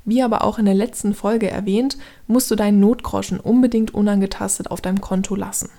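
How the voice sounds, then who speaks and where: A woman's voice: medium pitch, fairly even intonation, a little fast, warm tone. A woman aged thirty giving scripted explanatory narration as a podcast host, instructing the listener directly in a structured way.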